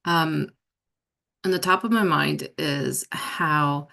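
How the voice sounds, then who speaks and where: A woman art therapist speaking on a panel, slow and thoughtful, with "like" and "you know" fillers. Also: low voice, steady tone, vocal fry, sharp tone. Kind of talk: panel discussion. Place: university event room.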